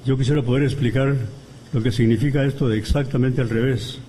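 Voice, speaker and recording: Male lecturer, mellow tone, deliberate phrasing with pauses, scholarly commentary at a university auditorium. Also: mid-range voice, fairly even intonation, slight background noise. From Guatemala. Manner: measured and deliberate, pausing between phrases. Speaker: guest commentator at a book presentation. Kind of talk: academic lecture.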